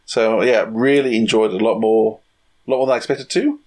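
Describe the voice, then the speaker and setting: A man co-hosting a podcast, chatting casually and haltingly as he searches for his words. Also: on the low side, a little mellow. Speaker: podcast co-host. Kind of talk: casual review chat.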